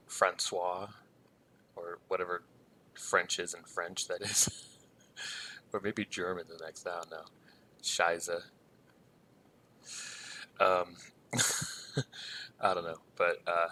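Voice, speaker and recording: A man's voice: sits low, pushed and bright, a little hiss or hum, low-quality recording. A man in his thirties giving casual commentary, hesitant and rambling, with many ums and trailing thoughts. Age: 30s. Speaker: reaction video host.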